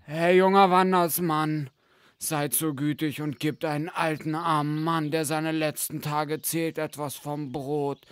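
An actor playing a poor old tramp in an audio fairy tale, courteous and pleading. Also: male voice, a little high, level tone, unhurried, clear, resonant tone, close to an everyday voice. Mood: humble pleading. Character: old, poor, humble wandering tramp begging for bread.

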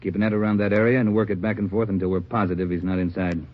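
An actor playing an authoritative American police chief in an old radio drama, talking in clipped phrases on a muffled recording. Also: male voice, lowish voice, level tone, racing, lightly acted. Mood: grim, authoritative. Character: gruff, commanding male police chief. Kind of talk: 1950s radio drama acting.

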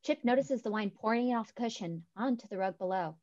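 A young woman with a slightly high voice reads scripted stage directions. The sound is a little dull and lo-fi, as from a video call. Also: fairly quick, darkish tone. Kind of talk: scripted narration. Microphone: headset on video call.

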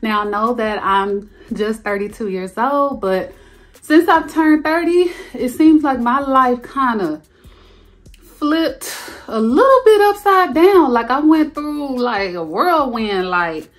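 A chatty woman in her thirties talks straight to the camera from a carpeted bedroom at home. Her voice sits a little high, and she leaps up higher still to stress a point before dropping back to an easy, playful tone. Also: slow. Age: 30s. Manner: chatty and animated, sudden high jumps for emphasis. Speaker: lifestyle vlogger. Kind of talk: casual chat to camera.

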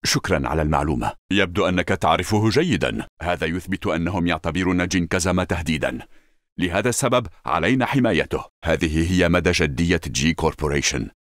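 An actor playing a vengeful, honorable desert warrior in a fighting game, with solemn, intense, formal delivery. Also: male voice, sits low, animated, fast, no echo, studio quality. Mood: grim, determined. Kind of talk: video game battle lines and fight efforts.

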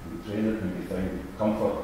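An older man reading a formal prayer in solemn, measured phrases at a church lectern. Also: sits low, fairly even intonation. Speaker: church member leading prayers. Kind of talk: formal read-out intercessory prayer. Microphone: gooseneck lectern microphone. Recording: echoey church hall, distant, noisy.

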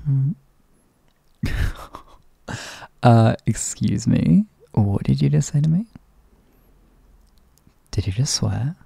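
A man voicing an affectionate, teasing partner in an intimate roleplay, speaking softly and often in a whisper. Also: medium pitch, animated, slow pace, creaky, long pauses, breathy edge, dry recording, heavily acted. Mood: teasing, doting. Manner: soft, often whispered, coaxing, chuckling. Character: affectionate teasing male partner, doting, playful. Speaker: audio roleplay performer.

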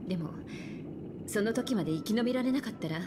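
A woman playing a calm, self-possessed, elegant young woman in a Japanese game, with cool, feminine phrasing. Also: on the high side, fast, background noise, close to an everyday voice. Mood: calm, matter-of-fact. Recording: loud game music behind the voice.

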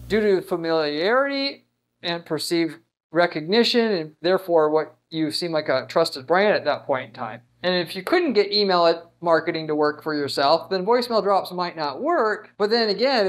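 Guy in his 30s with a pretty high voice explaining marketing stuff, chatty and emphatic. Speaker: marketing instructor. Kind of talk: informal whiteboard lesson, explaining to camera. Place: indoors in front of a whiteboard. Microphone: lapel mic.

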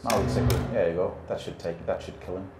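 A middle-aged man calmly coaching a pilot step by step in a flight simulator, encouraging and full of pauses and fillers. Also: deep voice, over loud music. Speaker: flight instructor. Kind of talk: patient step-by-step instruction. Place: indoor flight simulator dome. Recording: roomy with background hum.

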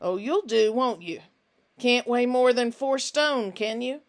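A woman voicing a large, menacing stranger who puts on a false friendliness and talks loudly and mockingly. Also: a little high, lively intonation, takes it slowly, pitched above the performer's own voice. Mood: coaxing. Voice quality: intelligent but unfriendly voice. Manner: loud, projecting, mocking.

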